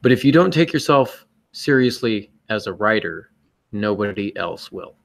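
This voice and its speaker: Earnest, emphatic man with a lowish voice. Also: lively intonation, moderate pace. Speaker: podcast co-host and writer. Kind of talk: reflective podcast discussion.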